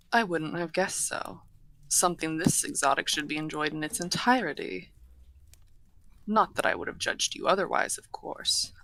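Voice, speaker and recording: Woman as a low-voiced, unhurried, genteel half-dragon lady. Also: vocal fry, a bit bright, full character voice, low-quality recording. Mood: attentive, measured. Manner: genteel, formal, unhurried wording with dry teasing. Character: courteous, refined female half-dragon hostess, humanoid. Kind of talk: fantasy roleplay audio acting, addressing the listener.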